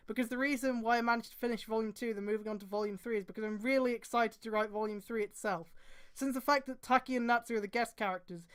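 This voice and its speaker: A woman's voice: on the high side, clear, resonant tone. A woman streamer reading aloud and adding exasperated, sweary mocking commentary.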